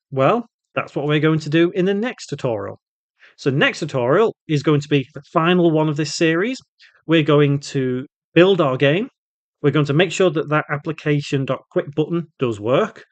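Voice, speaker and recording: A man hosting an informal step-by-step software tutorial, thinking aloud as he works through it. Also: a little high, no echo. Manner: thinks aloud while working, spelling out code symbol by symbol. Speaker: tutorial host, game development instructor.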